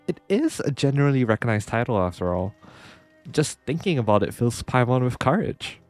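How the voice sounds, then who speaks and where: A man's voice: medium pitch, sing-song, normal speed, soft background music, lightly acted. A man with a nasal voice reading the lines of a small, proud female fairy-like companion from a game.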